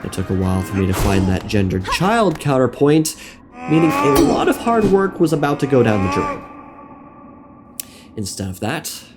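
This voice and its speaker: A man's voice: medium pitch, sing-song, normal speed. A young man narrating a scripted comedic video essay on game lore in a playful, mock-serious manner. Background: background music.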